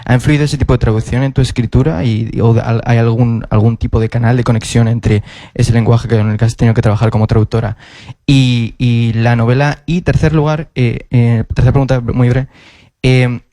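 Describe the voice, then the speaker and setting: A man's voice: mid-range voice, steady tone, fairly quick, dark tone, dry recording, clean studio sound. A man with a Spanish accent from Spain asking long, carefully built questions from the audience, restarting often. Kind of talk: formal question from the floor. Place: auditorium.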